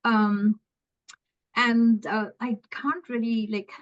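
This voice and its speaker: A woman chatting casually in an art study group, often pausing and restarting. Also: on the high side, level tone, dull audio.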